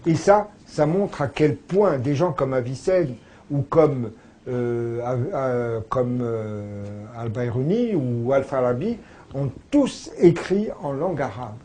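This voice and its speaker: A man's voice: medium pitch, animated, slow, mellow, a little hiss or hum. A man speaking as a scholarly interview guest, explaining conversationally in a discursive, emphatically agreeable way.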